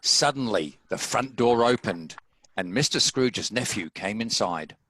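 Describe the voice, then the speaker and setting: Bright, lowish male storybook narrator. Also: lively intonation, unhurried, a little hiss or hum. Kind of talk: scripted storybook narration in a table read.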